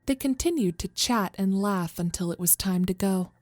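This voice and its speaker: A woman's voice: mid-range voice, moderate pace, darkish tone, no room sound, clean studio sound, faint music behind. A woman narrating a scripted horror story as its storyteller.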